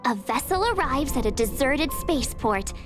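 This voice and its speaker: A woman voicing a bubbly, excitable teenage girl adventurer in a video game, with a peppy, chatty manner. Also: sits high, normal speed. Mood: curious, uneasy. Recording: game music behind the voice.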